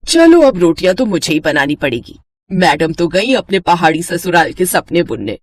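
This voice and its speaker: A woman's voice: fairly low pitch, sing-song, moderate pace, no room sound. A woman voicing a practical middle-aged mother in a storybook tale, chiding and nagging but fond. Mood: exasperated. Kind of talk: animated Hindi moral story dialogue.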